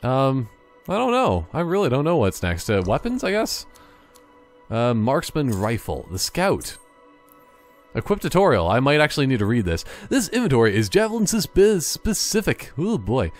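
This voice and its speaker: A man's voice: medium pitch, huge pitch swings, moderate pace, soft background music. A man giving casual, jokey gameplay commentary, thinking aloud and wandering off on tangents. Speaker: gaming YouTuber.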